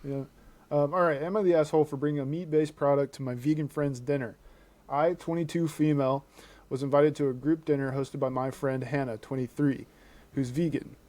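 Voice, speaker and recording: Mellow-voiced man on a casual podcast. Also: fairly high pitch, fairly even intonation, fairly quick. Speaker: podcast co-host. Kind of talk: casual chat and reading posts aloud.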